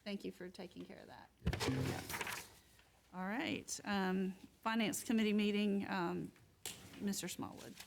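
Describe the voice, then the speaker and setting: A woman's voice: medium pitch, steady tone, measured pace, gravelly, background noise. A woman chairing a county council meeting, moving things along with short procedural prompts.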